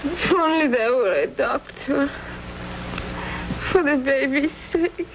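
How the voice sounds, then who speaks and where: Frail, high-voiced sick wife. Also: female voice, slow. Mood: weak, pleading. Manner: weak, plaintive. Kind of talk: 1950s television western acting. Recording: noisy old TV western soundtrack.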